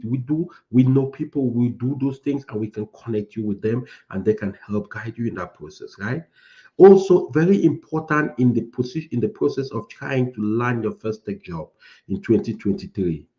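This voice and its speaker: A man from Cameroon gives a motivational talk as a career coach, stacking repeated phrases for emphasis. His voice is low and dark. The stream audio sounds a bit dull and lo-fi.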